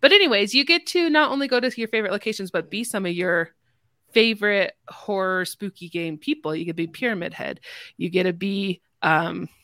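A woman's voice: medium pitch, brisk, a bit bright, no echo, studio quality. A woman in a casual podcast chat, talking in a chatty, self-interrupting way. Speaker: podcast speaker.

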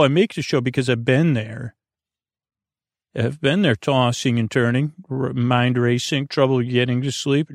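A man hosting a bedtime podcast in a gravelly voice, rambling and halting, often trailing off mid-thought. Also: medium pitch, no echo, clean studio sound. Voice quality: creaky, gravelly. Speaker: podcast host.

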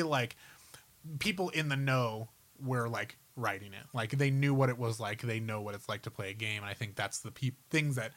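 A man's voice: mid-range voice, a bit bright, no room sound. A man co-hosting a podcast in casual, enthusiastic, rambling conversation, peppering his talk with "like.". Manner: enthusiastic, rambling, heavy use of "like". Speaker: podcast co-host. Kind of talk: casual chat about movies and games.

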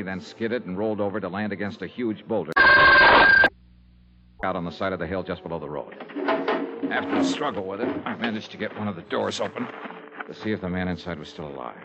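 An actor playing a worldly, hard-boiled investigator in a 1960s radio drama, wry and matter-of-fact. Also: male voice, low-pitched, a little fast, heavy background noise. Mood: grim, matter-of-fact. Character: worldly, hard-boiled male insurance investigator telling his case. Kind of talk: 1960s radio detective narration and dialogue. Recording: muffled old radio broadcast, music behind.

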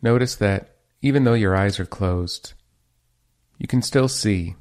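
Low-pitched man guiding a meditation. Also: unhurried, darkish tone. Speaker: meditation guide, host. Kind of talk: guided meditation.

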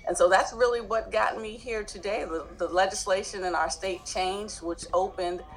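A woman's voice: mid-range voice, fairly even intonation, moderate pace, poor audio. A woman from New Jersey with a slight Southern twang, a guest telling her story in an earnest, open way. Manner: earnest, open, laughs easily. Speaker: guest, educator and author. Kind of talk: interview storytelling.

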